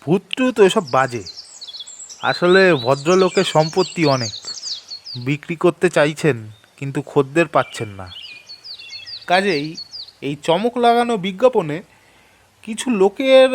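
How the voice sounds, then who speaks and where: Man acting eager, coaxing friend, fairly high voice. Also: a little hiss or hum. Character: eager, persuasive young male friend, breezily sceptical of ghosts. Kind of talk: audio story dramatic dialogue.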